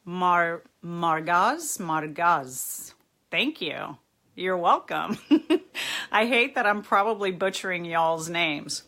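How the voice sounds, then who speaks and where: A middle-aged woman therapist chatting casually on a live stream, her voice a little raspy from overuse, greeting viewers by name. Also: lowish voice, lively intonation, takes it slowly, pushed and bright. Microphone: phone or webcam, live stream.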